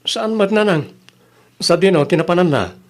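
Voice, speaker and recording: Village family man male performer, Ilocano accent, fairly high pitch, everyday comedy-drama delivery. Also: animated, normal speed. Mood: casual.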